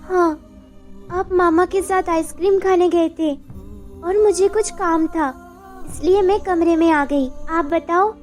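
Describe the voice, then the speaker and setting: A woman playing an emotional, devoted young widowed mother in an animated story drama, with music behind her. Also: very high-pitched, a little slow. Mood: gentle, affectionate. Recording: background music over the voice.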